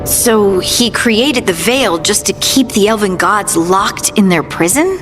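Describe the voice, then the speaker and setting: A woman's voice: mid-range voice, lively intonation, slow, over music. A woman playing an earnest, troubled young adventurer in a fantasy game, with a hesitant, plain-spoken manner. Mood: puzzled.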